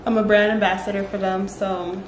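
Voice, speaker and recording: A woman's voice: medium pitch, level tone, a little fast. A woman, chatting casually as a client in a small room indoors. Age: 20s. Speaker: vlogger, salon client. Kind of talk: casual chat. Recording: roomy echo, clearly noisy room.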